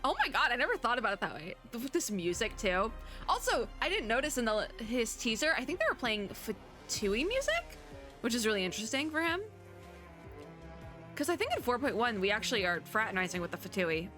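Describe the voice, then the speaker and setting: A woman's voice: a little high, sing-song, fairly quick, pushed and bright, soft background music. A woman hosting a casual gaming reaction video, chatty and rambling, with playful exasperation. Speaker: reaction video host and VTuber. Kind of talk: casual reaction chat to gamers. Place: indoors at a home computer setup.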